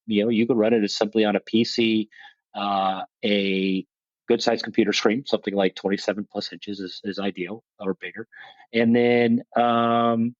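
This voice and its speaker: Low-voiced plainspoken man, dull dry audio. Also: dry recording. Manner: plainspoken, rambling, self-deprecating, frequent restarts. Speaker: guest, veterans motorsport program leader. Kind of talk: conversational interview.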